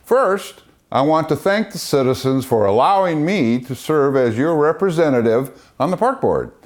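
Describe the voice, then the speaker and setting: A man's voice: on the high side, lively intonation, normal speed. A man giving a prepared campaign speech as a candidate for re-election. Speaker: parks board candidate seeking re-election.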